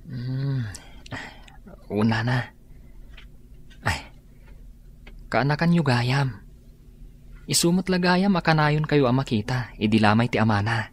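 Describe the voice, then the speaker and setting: A man acting as a humble, devoted young suitor in an Ilocano radio drama, speaking sincerely and respectfully in a measured, husky voice. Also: mid-range voice, animated, slight background noise. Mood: respectful, hopeful.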